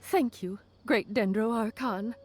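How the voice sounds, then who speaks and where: A woman's voice: a little high, measured pace, slight background noise, lightly acted. A woman playing a polite, dutiful academy clerk in an anime game, courteous and businesslike.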